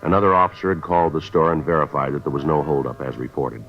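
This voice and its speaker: Terse American police detective, radio drama, quick delivery, deadpan, very low pitch, muffled vintage sound. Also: male voice. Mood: flat, matter-of-fact. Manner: deadpan, matter-of-fact. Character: terse, businesslike male police detective narrating his case. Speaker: narrator and lead actor. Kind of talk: 1950s radio acting, clipped scripted narration. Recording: dull vintage radio recording with music.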